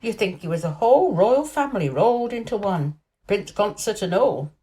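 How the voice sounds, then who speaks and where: A woman's voice: medium pitch, lively intonation, normal speed, dark tone, lightly acted, poor audio. A woman voicing a good-natured male head gardener in a storybook, respectful, amiable and plain-spoken. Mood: amused.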